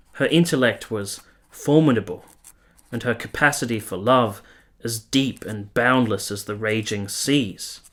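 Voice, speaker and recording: Conflicted 1870s military man, radio drama narrator. Lower register, measured literary delivery, formal and introspective. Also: fairly bright tone. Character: brooding, conflicted 1870s soldier narrating his obsession. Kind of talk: literary first-person radio play narration.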